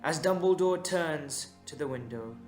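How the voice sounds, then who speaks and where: A man's voice: on the high side, lively intonation, unhurried, darkish tone. A man narrating a scripted audio drama in a dramatic storytelling style. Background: instrumental music.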